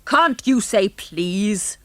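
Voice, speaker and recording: Cranky bearded old great-grandad, loud and irate, played by a woman narrator. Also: mid-range voice, expressive, drawn-out words, no room sound. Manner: cantankerous, shouting.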